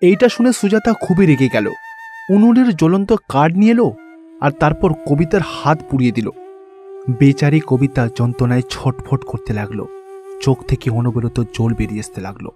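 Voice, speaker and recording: A man's voice: medium pitch, brisk, warm tone. A man reading scripted narration for a cartoon fairy tale as its storyteller. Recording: background music under the voice.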